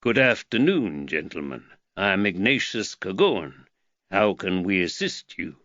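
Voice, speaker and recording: Man voicing a calm quiet shopkeeper, clear diction, low soft delivery, dry dull sound. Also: unhurried, no room sound, exaggerated voice. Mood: polite. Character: calm soft-spoken older foreign shopkeeper.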